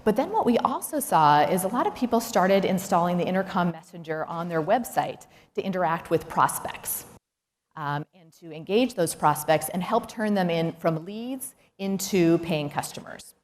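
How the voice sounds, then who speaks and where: A woman's voice: on the low side, expressive, moderate pace. Woman keynote speaker in an echoey hall. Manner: instructive, emphatic, with rhetorical questions. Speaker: chief executive giving a conference talk. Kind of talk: prepared business keynote to an audience. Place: large indoor talk venue. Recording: echoey hall, noticeable background noise.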